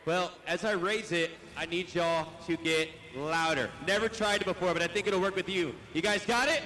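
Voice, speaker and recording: A man's voice: high voice, moderate pace, bright, forceful tone, slight background noise, poor audio. A male arena announcer losing his voice, calling a live monster truck event and pumping up the crowd. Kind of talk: live play-by-play commentary. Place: indoor arena.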